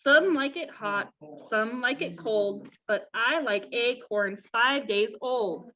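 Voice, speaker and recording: A woman's voice: fairly high pitch, takes it slowly. A woman voicing a saucy, show-off young male squirrel in a children's story, cheeky and singsong. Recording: dull lo-fi sound, clear background noise.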